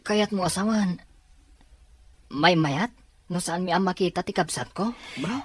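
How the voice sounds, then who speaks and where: A woman's voice: on the low side, a little slow, breathy edge, dry recording. A woman with an Ilocano accent playing a stubborn, eager character questioning a friend in an audio drama. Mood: insistent. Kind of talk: radio drama acting.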